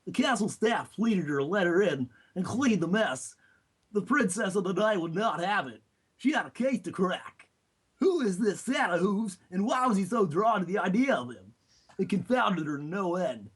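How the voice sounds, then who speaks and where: A man's voice: high-pitched, lively intonation, moderate pace, breathy edge. A man voicing a nerdy cartoon turtle who earnestly narrates a storybook tale. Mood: earnest narrating. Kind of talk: scripted storybook narration read in a character voice.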